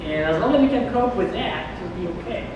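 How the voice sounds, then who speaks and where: A man presenting at a public meeting, explaining in a halting way with many restarts and fillers. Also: high voice, animated, muffled recording. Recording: echoey hall from far off, noisy.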